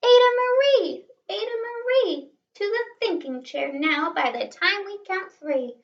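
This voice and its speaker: A woman voicing exasperated, stern storybook parents scolding their little daughter, loud and emphatic. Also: very high-pitched, animated, measured pace, creaky, voice pushed up, full character voice. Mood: angry, scolding. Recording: roomy, distant, dull sound.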